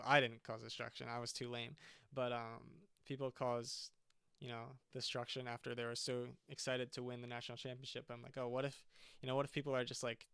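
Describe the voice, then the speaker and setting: A man's voice: medium pitch, creaky, a bit bright, clean tone, no room sound, studio quality. A young man, a band's singer, chatting casually on a livestream and often stopping to restart his sentences. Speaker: singer and band frontman.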